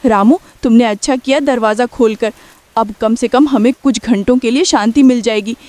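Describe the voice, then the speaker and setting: A woman's voice: a little high, moderate pace, darkish tone, dry recording, close to an everyday voice. A woman playing a worried, caring poor village mother in a Hindi cartoon story, earnestly instructing and protecting her family. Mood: reassuring.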